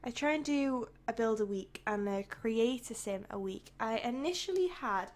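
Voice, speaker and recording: A young woman from the UK with a croaky, tired voice, chatting casually and rambling as a YouTuber over her game build. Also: fairly high pitch, animated, normal speed. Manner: rambling, chatty, with soft laughs.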